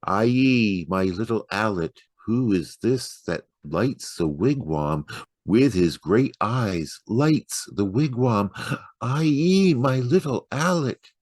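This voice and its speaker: Man voicing a tender, patient old grandmother, slow-spoken. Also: on the low side, lively intonation, a little mellow, no echo, voice pushed up. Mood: tender, singing. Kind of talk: dramatic poetry reading.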